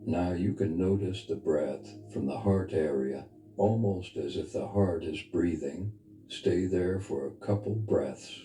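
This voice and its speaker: A man leading a guided meditation as a spiritual teacher, speaking in a soft, intimate, earnest and unhurried way. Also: sits low. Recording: reverberant voice over background music. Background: music.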